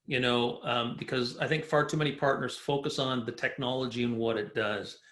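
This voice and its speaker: A man's voice: mid-range voice, steady tone, brisk, a bit bright, echoey room. A man hosting a business interview, asking questions in an upbeat, conversational way. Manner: upbeat, conversational, uses "you know" often. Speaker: podcast host.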